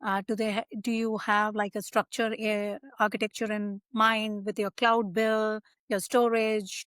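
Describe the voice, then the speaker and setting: A woman's voice: mid-range voice, little pitch movement, measured pace, fairly bright tone, clear, resonant tone, no echo. Woman podcast host, dry close sound. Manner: friendly, conversational questions. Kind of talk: interview hosting.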